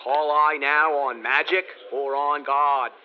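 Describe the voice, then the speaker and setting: A man playing a troubled king charged with treason, speaking in a measured, earnest way in verse for a radio drama. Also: on the high side, fairly even intonation. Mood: brooding. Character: troubled modern king on trial. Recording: dull lo-fi audio with faint hiss.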